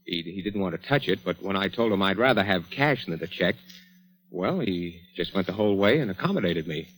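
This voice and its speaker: Evasive, low-voiced radio drama husband. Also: male voice, expressive, a little fast, fairly bright tone, a little hiss or hum, rough recording. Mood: uneasy, insistent. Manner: hesitant, snappish. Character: evasive, defensive husband hiding something. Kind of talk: 1940s radio drama acting. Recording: vintage radio broadcast with music.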